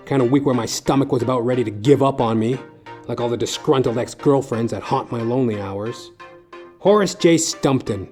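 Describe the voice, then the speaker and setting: A man playing a sardonic, world-weary private detective, narrating deadpan in hard-boiled style for an audio dramedy. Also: medium pitch, close to an everyday voice. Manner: wry, deadpan wisecracking. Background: music behind the voice.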